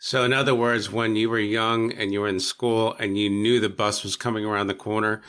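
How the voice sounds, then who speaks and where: A male podcast host with a low-pitched voice that still sounds bright and forceful. He sums up his guest's points and follows them with questions in an easy conversational way. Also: flat delivery, a little fast, clean tone. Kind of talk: interview questions.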